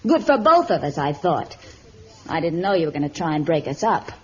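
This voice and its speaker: A woman's voice: deep voice, big pitch swings, fairly quick, husky, exaggerated voice. Bitter, sardonic young showgirl. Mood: resentful. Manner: curt, sardonic, clipped retorts. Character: bitter, stubborn young showgirl twin sister. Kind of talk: vintage radio melodrama acting. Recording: dull, lightly noisy old radio recording.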